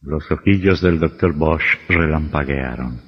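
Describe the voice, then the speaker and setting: An actor playing an ominous storyteller, narrating an old radio horror drama. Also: male voice, rumbling low, measured pace, husky, full character voice. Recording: muffled old radio recording, long reverb.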